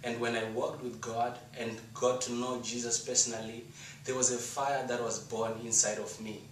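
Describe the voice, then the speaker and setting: A man's voice: medium pitch, flat delivery. Echoey, distant Zimbabwean man giving a testimony. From rural Shurugwi, Zimbabwe. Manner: earnest, emphatic, deliberate rhetorical phrasing. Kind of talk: rehearsed testimony and appeal speech to an audience. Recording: roomy echo, distant mic, faint noise.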